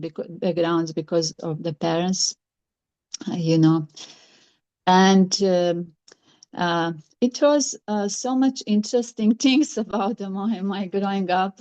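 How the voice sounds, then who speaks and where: A woman's voice: medium pitch, a little mellow. Hesitant Serbian-accented woman. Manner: hesitant, many fillers, halting non-native grammar. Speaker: podcast guest. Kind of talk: casual interview chat.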